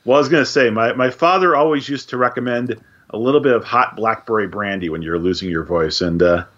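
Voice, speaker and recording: A man's voice: mid-range voice, fairly bright tone. Joking co-host man with a dull lo-fi mic. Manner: dry joking, reads news in a measured way. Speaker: podcast co-host. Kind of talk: casual chat and news reading.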